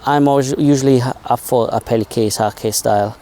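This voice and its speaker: A man's voice: medium pitch, fairly quick, mellow. A man giving a casual, informal technical explanation as a co-reviewer of film gear.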